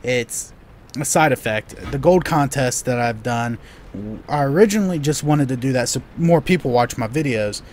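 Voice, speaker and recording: A man's voice: medium pitch, normal speed, darkish tone, slight background noise. A young man, Korean by birth, hosting a live gameplay Q&A and answering viewer questions in a rambling way, with lots of restarts. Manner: rambling, many "you know"s and restarts. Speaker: gaming channel host.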